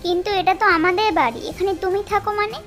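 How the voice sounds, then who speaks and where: A woman's voice: very high voice, fast talker, fairly bright tone, faint music behind. A woman voicing a brave, curious young schoolgirl in a children's cartoon story, with an eager, childlike delivery. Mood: bold.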